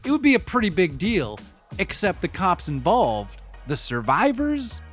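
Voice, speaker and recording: A man's voice: high voice, wildly swooping pitch, a little slow, fairly bright tone, faint music behind, heavily acted. A man playing a rattled, defensive young eyewitness in an audio drama, telling his story in a casual, slangy, confiding way. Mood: confiding, skeptical. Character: rattled, defensive young male eyewitness, street-talking and wry. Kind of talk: first-person audio fiction monologue, conversational storytelling.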